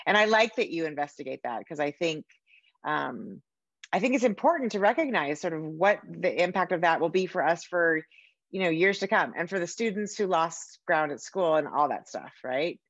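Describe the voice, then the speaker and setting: Female interviewer, forties. Conversational, on the low side, dull-edged video-call audio. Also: animated, moderate pace, a bit bright, dry recording. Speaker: interviewer host. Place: home room with bookshelves, indoors.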